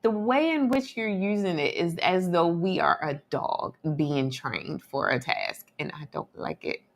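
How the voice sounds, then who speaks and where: A woman's voice: fairly low pitch, a little slow, gravelly. A woman in her twenties co-hosting a podcast, blunt and emphatic in her opinions. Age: 20s. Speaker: podcast co-host. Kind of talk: casual commentary chat.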